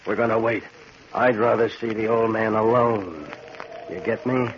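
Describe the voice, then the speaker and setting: A man's voice: lowish voice, steady tone, moderate pace, over loud music, natural voice. An actor playing a bossy, scheming tough-guy detective in a 1940s radio crime drama, brusque, slangy and commanding. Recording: muffled, hissy 1940s radio recording.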